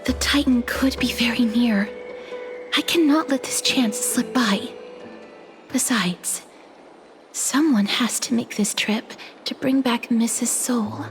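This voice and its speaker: An actress playing an earnest young maiden in a game, soft-spoken and resolute. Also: female voice, a little high, slow pace, faint music behind.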